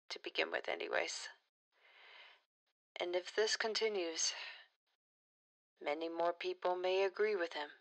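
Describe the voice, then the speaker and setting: Woman acting as principled female politician, lowish voice, crisp forceful edge, earnest speechifying, defiant, audio drama. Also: rough recording.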